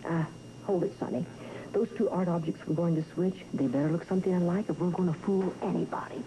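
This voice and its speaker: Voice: mid-range voice, fairly quick, warm tone, close to an everyday voice. A performer playing a wry, seasoned accomplice in a TV soap, with a familiar, affectionate manner. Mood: practical, questioning. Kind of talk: television soap opera dialogue. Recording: noisy worn VHS tape of television.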